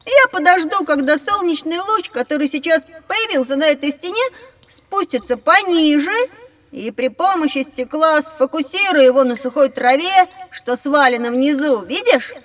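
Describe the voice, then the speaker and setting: High-pitched, confident young boy. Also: expressive, moderate pace, fairly bright tone, big-room sound. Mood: thoughtful, insistent. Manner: eager, explaining, questioning. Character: curious, confident, know-it-all young boy. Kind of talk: children's educational audio drama.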